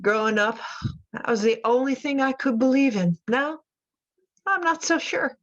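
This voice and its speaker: A woman acting in a radio drama as an anguished, guilt-ridden mother in prison, speaking in a halting, confessional way. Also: high-pitched, highs cut off, natural voice. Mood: bleak, lost. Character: guilt-ridden imprisoned mother who killed her daughters.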